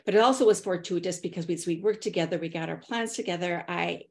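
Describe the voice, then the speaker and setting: A woman's voice: on the low side, quick pace. Middle-aged woman telling stories on a podcast. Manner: animated storyteller who acts out quoted thoughts. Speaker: podcast guest, consultant and author. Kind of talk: personal storytelling in an interview.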